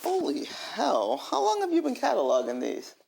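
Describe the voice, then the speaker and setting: An actress playing a blunt investigator questioning her colleagues, with a gravelly, muted voice, in naturalistic found-footage game style. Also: high-pitched, wildly swooping pitch, normal speed, dark tone, a little hiss or hum, exaggerated voice, poor audio. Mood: incredulous.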